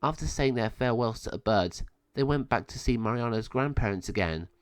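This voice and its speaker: A man's voice: mid-range voice, expressive, fairly quick, smooth, no room sound. A man reading a children's adventure story aloud as a scripted narration.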